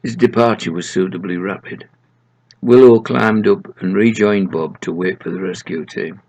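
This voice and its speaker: A man's voice: deep voice, steady tone, moderate pace, gravelly, a little mellow, slightly rough, rough recording. An older man in his seventies reading a story aloud in a storytelling style. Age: 70s. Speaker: author reading his own short stories aloud. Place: small room at home, indoors.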